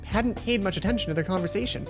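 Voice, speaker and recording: A woman's voice: medium pitch, quick pace, music in the background. A woman hosting a let's play, reading a visual novel's narration aloud and sometimes stumbling and rereading lines.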